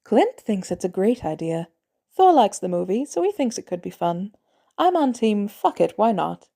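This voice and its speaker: Storybook role: wry, unbothered woman, acted by a female reader, mellow tone, dry understated delivery. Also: medium pitch, sing-song, normal speed, gravelly. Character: cool, wry, easygoing woman with dry humour.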